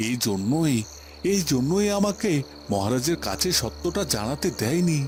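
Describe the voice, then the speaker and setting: A brooding cartoon court astrologer held captive played by a man, thinking suspiciously to himself. The male voice is a bit high and rises and falls a lot, over slight background noise. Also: big pitch swings, moderate pace. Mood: brooding realization. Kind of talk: dubbed cartoon inner monologue.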